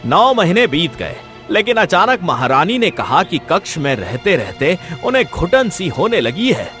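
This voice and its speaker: A man's voice: high voice, very animated, a little slow, a bit bright, faint music behind, exaggerated voice. A man voicing a solemn royal minister recounting sad past events in a cartoon story. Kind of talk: dramatized storytelling dialogue.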